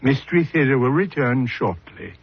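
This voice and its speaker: A man hosting a radio mystery, narrating a script in a portentous, deliberate, rhetorical way. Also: medium pitch, expressive, slight background noise. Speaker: host and narrator. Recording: muffled 1970s radio broadcast. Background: music.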